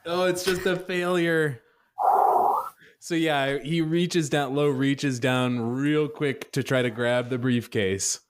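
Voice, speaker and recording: A man's voice: medium pitch, fairly even intonation, takes it slowly, clean tone. A man chatting casually as a player in a tabletop roleplaying game.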